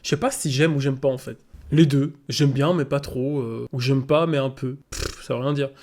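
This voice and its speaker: A man's voice: mid-range voice, normal speed. A young man streaming, rambling and exclaiming as he reacts to a cartoon. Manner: excitable, rambling, exclaims often. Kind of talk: casual reaction commentary. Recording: cartoon soundtrack music behind.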